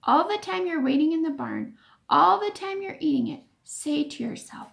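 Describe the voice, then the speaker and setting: A woman voicing a mischievous, excitable boy in a storybook, urging and chanting to egg on a friend. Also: very high-pitched, moderate pace, gravelly, voice pushed up, exaggerated voice. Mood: playful, urging.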